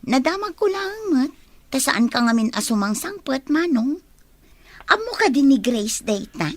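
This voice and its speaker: A woman's voice: high-pitched, big pitch swings, unhurried, dry recording. An actress playing a suspicious, probing woman of the household in an Ilocano radio drama, asking insinuating questions. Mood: prying.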